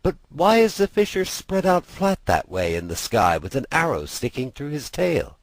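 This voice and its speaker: Man putting on an inquisitive young girl, raised pitch. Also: big pitch swings, moderate pace, no echo, poor audio. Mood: curious. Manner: wondering, inquisitive. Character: curious young girl asking questions. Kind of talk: storybook character voice.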